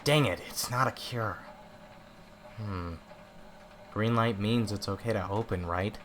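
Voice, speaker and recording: A man playing a cocky, excitable young creature scavenger in an animated comic dub, talking to himself and exclaiming freely. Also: on the low side, a little slow, heavy background noise, natural voice. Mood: let down. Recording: music behind the voice.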